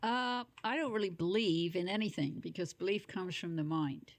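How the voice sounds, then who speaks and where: Female meditation teacher, slightly low voice, calm and measured, dry sound. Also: no room sound. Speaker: meditation teacher, podcast guest. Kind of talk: calm interview answers.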